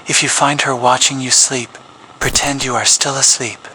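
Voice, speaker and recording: A man reading a scripted public safety announcement as an emergency broadcast announcer, in a grave, measured official tone. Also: medium pitch, steady tone, bright, forceful tone, slight background noise, low-quality recording. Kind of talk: scripted horror narration.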